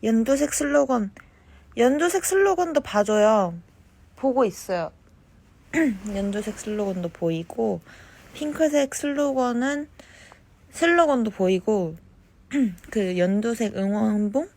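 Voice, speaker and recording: A young woman chatting casually on a phone livestream, reading fan comments and answering them softly, at times slipping into whispers. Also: a little high, animated, creaky, dry recording. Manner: slow, soft-spoken, drifts into whispers. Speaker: idol livestreaming to fans.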